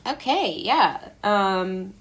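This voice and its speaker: A woman's voice: mid-range voice, animated, drawn-out words, a bit bright. An American woman from Brooklyn, a podcast guest, chatting casually in a rambling, self-interrupting way. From Brooklyn, New York, United States. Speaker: interview guest, playwright and actor. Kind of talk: casual chat.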